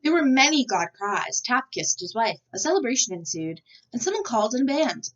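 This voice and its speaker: Female picture-book reader: expressive, very animated, higher-pitched, recorded a little off-mic. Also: fairly quick. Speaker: storyteller. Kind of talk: expressive children's picture book read-aloud. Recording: slightly distant, dull microphone sound.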